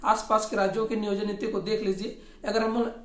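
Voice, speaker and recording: A speaker with a Jharkhand accent giving informal political commentary, often repeating and rewording phrases in a halting way. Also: medium pitch, flat delivery, slight background noise. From Jharkhand, India. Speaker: host of a regional news commentary channel. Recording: echoey, roomy sound.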